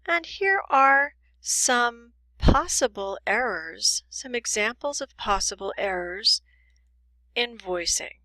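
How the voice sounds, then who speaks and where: Female, pronunciation teacher, unhurried pace, deliberate enunciation, scripted lesson, dry recording. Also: fairly high pitch, animated, bright, forceful tone, no echo, rough recording. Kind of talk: scripted instructional lesson with demonstrations.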